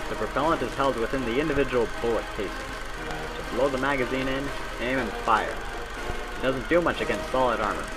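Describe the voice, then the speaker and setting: A man's voice: medium pitch, low-quality recording. Man narrating like a 1940s training film, music behind. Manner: brisk, instructive, folksy period phrasing.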